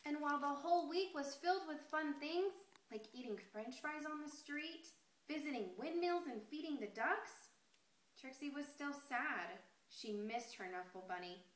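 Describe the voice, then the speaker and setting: A woman teacher reading a picture book aloud to young children in an expressive, deliberate storytelling way, pausing to address the class. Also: high voice. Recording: echoey room with noticeable background noise.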